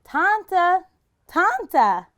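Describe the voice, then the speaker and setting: A woman's voice: very high-pitched, very animated, extremely slow, a bit bright, pitched above the performer's own voice, heavily acted. A woman voicing an anxious but take-charge young storybook girl who calls out in exclamations. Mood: calling, anxious.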